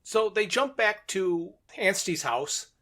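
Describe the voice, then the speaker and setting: A man's voice: high voice, expressive, takes it slowly, bright, forceful tone. A man co-hosting a podcast, recapping a story in a casual, jokey, conversational way with short sentences. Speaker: podcast co-host.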